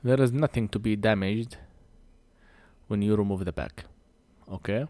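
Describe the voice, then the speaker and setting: A man who speaks English as a second language gives a hands-on repair tutorial in halting short phrases, a little short of breath. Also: lowish voice, dark tone, no echo. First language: not English. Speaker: phone repair technician presenting a tutorial.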